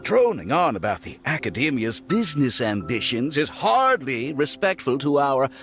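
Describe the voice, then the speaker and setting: An actor playing a courteous wealthy businessman in a video game, formal, polished and smoothly deferential. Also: medium pitch, sing-song, moderate pace, fairly bright tone. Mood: reproving. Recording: music playing behind the voice.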